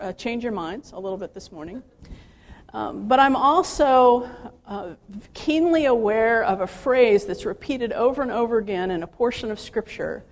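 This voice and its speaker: A woman's voice: medium pitch, a little mellow. A woman in her fifties giving a personal, faith-centered talk to staff, chatty and self-deprecating, with many fillers and restarts. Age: 50s. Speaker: speaker addressing ministry staff.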